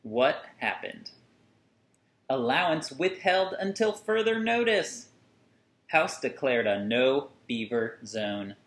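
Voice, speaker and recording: A man in his thirties reading a picture book aloud to children with deliberate, singsong phrasing and dramatic emphasis. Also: mid-range voice, big pitch swings, a bit bright. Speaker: children's story reader. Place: small furnished room at home, indoors. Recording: roomy indoor echo.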